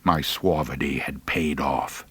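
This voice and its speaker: A man's voice: very deep voice, measured pace, fairly bright tone, husky, dry recording. A man narrating a humorous first-person story as an audiobook reader, with a dry, theatrical, mock-pompous delivery.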